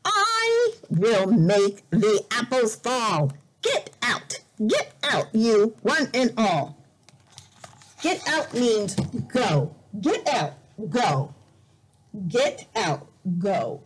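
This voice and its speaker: A woman teacher reading a picture book aloud as a lesson for young learners, deliberate and expressive, repeating words carefully. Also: fairly high pitch, husky, poor audio. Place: indoor room, at a desk.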